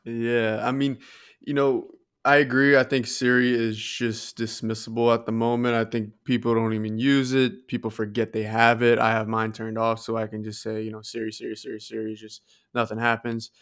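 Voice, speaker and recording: Smooth, even-toned man in casual discussion. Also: mid-range voice, normal speed. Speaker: podcast co-host.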